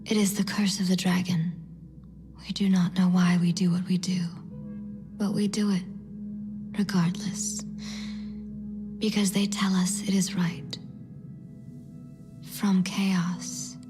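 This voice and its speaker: An actress voicing a mysterious female guide who speaks only in a breathy, cryptic whisper, in a game cutscene. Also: on the low side, little pitch movement, over music. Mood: hushed. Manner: slow, measured, cryptic pronouncements. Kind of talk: video game cutscene voice acting.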